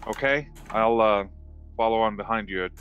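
A man's voice: fairly low pitch, normal speed, fairly bright tone, soft background music. A man playing in a tabletop game, talking casually and hesitantly in role over online voice chat. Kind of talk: casual in-game roleplay talk. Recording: dull online voice-chat audio.

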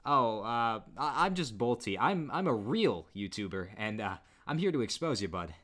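Young male YouTuber, mid-pitched, casual conversational storyteller. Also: big pitch swings, moderate pace, fairly bright tone. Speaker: YouTube narrator.

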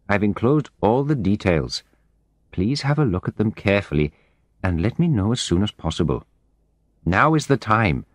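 A man's voice: sits low, dry recording, clean studio sound. A man voicing a friendly, eager Indian businessman's letter in an audiobook story, courteous and persuasive.

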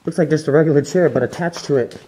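A man's voice: fairly high pitch, fast talker, dark tone, breathy edge, low-quality recording. A young man casually narrating as he explores an abandoned resort, speculating about what each room used to be. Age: young adult. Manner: chatty, speculative, easily impressed. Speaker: urban explorer. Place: large abandoned resort building, indoors. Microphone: handheld camera.

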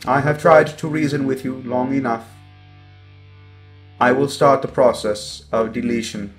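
Man voicing a slow, glitchy evil robot. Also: medium pitch, steady tone, faint music behind, close to an everyday voice. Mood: menacing, controlling. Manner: deliberate, glitching stutters on words. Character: calm, menacing evil robot overlord, coldly superior. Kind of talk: scripted robot roleplay monologue.

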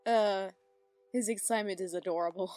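A woman's voice: medium pitch, steady tone, fairly quick, mellow. A woman giving casual reaction commentary as she watches a cartoon. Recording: music playing behind the voice. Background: background music and cartoon audio.

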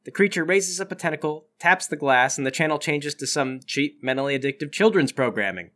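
Game master: man, high bright voice, narrating tabletop adventure. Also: fairly quick.

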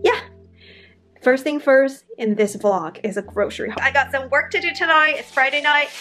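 A woman in her thirties chats brightly to a handheld camera at home, her voice high and upbeat with plenty of fillers. Background music plays under her talk throughout. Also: normal speed. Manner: chatty, upbeat, filler-heavy. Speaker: vlogger. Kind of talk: casual vlog chat. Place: home kitchen and outdoors on a bike ride. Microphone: handheld camera at arm's length.

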